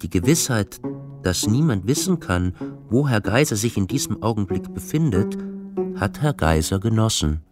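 A man narrating a literary radio play in a measured, matter-of-fact way. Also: lowish voice, expressive, over music, lightly acted.

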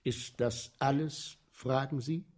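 An actor playing an eager, obliging guide to underground sewers in a German radio play, speaking in a declamatory, showy manner. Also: male voice, medium pitch, steady tone, slow, a little mellow. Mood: boastful.

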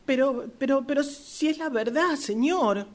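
Woman as a high, timid young boy. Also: a little slow. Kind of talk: amateur radio theatre workshop reading.

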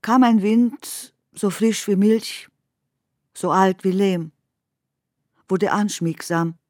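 A woman reciting literary poetry for a radio play in measured, deliberate phrases. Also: medium pitch, a little mellow, no echo, studio quality.

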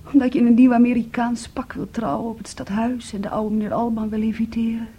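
An actress in a Dutch radio play playing an intense, wounded, possessive young woman, brooding and reproachful, with a breathy delivery. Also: a little high, dark tone. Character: Andrea, intense, wounded, possessive young sister clinging to her brother.

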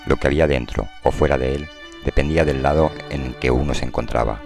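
Very deep-voiced male narrator over music. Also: fairly quick, gravelly. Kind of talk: scripted dramatized novel narration. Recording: prominent music under the voice.

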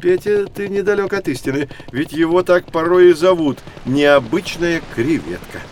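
A man's voice: a little high, animated, normal speed, darkish tone, a little hiss or hum, close to an everyday voice. A man playing a kindly, knowledgeable professor, patiently explaining things in a children's educational audio drama. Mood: intrigued. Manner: patient, didactic.

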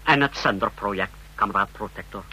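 An actor playing an eager male officer reporting to his superior in a vintage radio drama, brisk and deferential. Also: fairly low pitch, bright, forceful tone, a little hiss or hum, poor audio. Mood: scheming, deferential.

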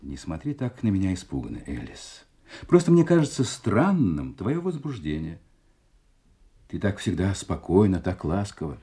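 An actor playing a suave, secretive husband with a volatile edge in an audio play, speaking in theatrical, silky tones. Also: male voice, low voice, huge pitch swings. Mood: brooding. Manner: theatrical, silky endearments, drawn-out hesitations, sudden sharp outbursts. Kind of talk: Russian audio play acting.

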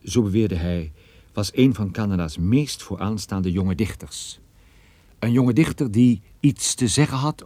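Low-voiced, world-weary private detective. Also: male voice, warm tone, no echo. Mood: sardonic. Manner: dry, ironic, measured storytelling. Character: male private detective narrator, world-weary, wry, gloomy. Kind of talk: radio play narration and dialogue.

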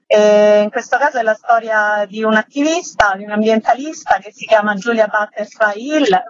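A woman's voice: on the high side, no room sound. A woman, a director being interviewed on radio, explaining her work earnestly. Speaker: interview guest, theatre director. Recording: dry, dull band-limited sound.

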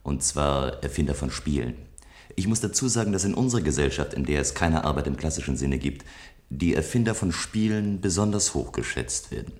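A very low male voice carries this science fiction radio play. a composed, self-assured inventor of games from a future society. His delivery is articulate, measured and reflective. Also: animated, a little mellow. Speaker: narrator and lead character in a radio play. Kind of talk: scripted German radio drama, first-person narration.

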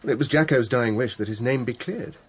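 An actor playing an earnest, troubled doctor in a radio drama, speaking formally and insistently. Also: male voice, mid-range voice, a little fast, breathy edge, natural voice. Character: earnest, troubled male doctor seeking to clear a name. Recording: music under the voice.

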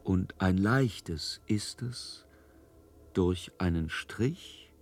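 A man reading a poetic first-person narration in measured, deliberate phrases. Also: sits low, expressive. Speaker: reader of a literary text.

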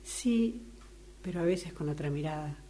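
A woman speaks casually to someone facing her. Her voice is fairly low and mellow, recorded with a faint hum. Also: animated, unhurried. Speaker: radio drama workshop participant. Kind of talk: casual face-to-face chat. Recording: humming, faintly noisy recording.

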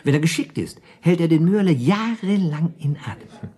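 An actor playing a sly, worldly drinking companion spinning schemes in a German radio play, wry and speculating. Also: male voice, a little high, lively intonation, normal speed, mellow, husky. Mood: sly, amused.

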